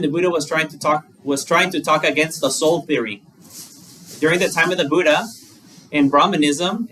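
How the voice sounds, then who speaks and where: Monk guy giving a dharma talk, bit higher voice, sounds far off the mic, faint hum behind. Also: fairly bright tone. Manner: conversational, plain examples, asks rhetorical questions. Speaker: Buddhist monk. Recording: distant mic with faint room noise.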